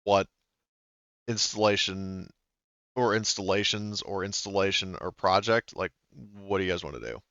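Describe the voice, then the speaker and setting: A man's voice: sits low, normal speed, fairly bright tone, no echo, highs cut off. A man running a tabletop game session as game master, thinking aloud and stopping often to check the rules. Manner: hesitant, thinks aloud with many fillers.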